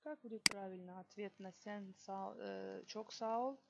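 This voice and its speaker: A woman teaching a language lesson, slowly dictating example sentences word by word and checking in with her students. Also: mid-range voice, steady tone, creaky, lo-fi sound, very noisy. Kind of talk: online language lesson, explaining exercises.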